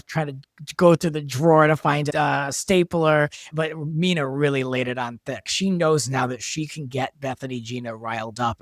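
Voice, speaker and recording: A man's voice: fairly high pitch, no room sound, clean studio sound. A man talking casually as a writer and director, discussing a drama production. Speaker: podcast guest, audio soap writer and director.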